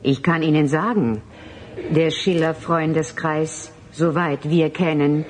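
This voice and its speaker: A woman playing a calm, solemn transhuman emissary in a German radio drama, speaking in deliberate, formal, oddly stilted phrases. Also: low voice, a little hiss or hum. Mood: measured challenge.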